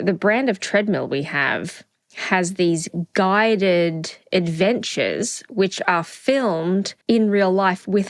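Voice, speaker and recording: A middle-aged woman co-hosting a podcast, telling stories in a relaxed, casual chat. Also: mid-range voice, no room sound. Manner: unhurried, storytelling. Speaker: podcast co-host. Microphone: podcast microphone.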